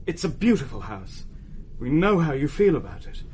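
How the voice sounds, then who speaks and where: A man's voice: fairly high pitch, big pitch swings, moderate pace, a little mellow, slight background noise. An actor playing a polite, anxious British husband in a radio play, earnest and hesitant in his pleading. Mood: desperate, pleading. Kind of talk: radio drama acting.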